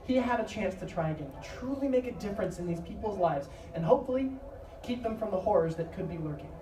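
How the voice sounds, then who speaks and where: A woman's voice: mid-range voice, lively intonation, fast, crowd noise. A woman narrator reading a scripted dramatic story live. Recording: echoey live stage, distant noisy mic.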